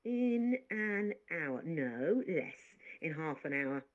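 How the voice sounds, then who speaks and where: A woman's voice: low-pitched, very animated, very slow, exaggerated voice. A woman putting on a chatty bossy maid. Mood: teasing. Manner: bossy, teasing, talkative. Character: chatty good-natured maid, adult woman. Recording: dull lo-fi recording.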